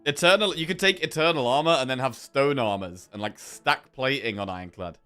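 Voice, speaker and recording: A man's voice: mid-range voice, a little fast, pushed and bright, clean tone, soft background music. A man with a sore throat giving casual gameplay commentary as a streamer, thinking aloud through his choices. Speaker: game streamer.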